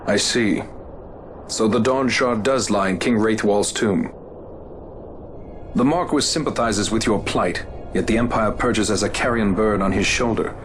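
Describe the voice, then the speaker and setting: An actor playing a proud, stern captain who speaks in a formal, reproachful tone in a game cutscene. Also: male voice, lowish voice, unhurried, slight background noise, lightly acted. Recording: game cutscene with loud score.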